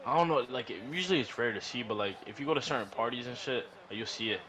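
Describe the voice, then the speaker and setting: A man rambles through a casual, profane story, slangy and animated, often repeating himself. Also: mid-range voice, quick pace. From New York. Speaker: podcast storyteller. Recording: noisy room recording.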